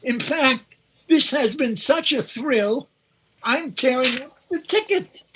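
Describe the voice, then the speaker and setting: Woman as a slow, high-voiced police officer. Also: heavy background noise. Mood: starstruck. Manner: deliberate, official, wry. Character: dry, officious police officer in a radio comedy. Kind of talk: 1940s-style radio comedy acting.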